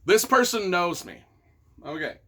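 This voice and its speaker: A man's voice: high-pitched, lively intonation, measured pace, pushed and bright, slightly rough. A man reading reviews aloud and reacting off the cuff as their author, with a theatrical, emphatic, mock-exasperated delivery.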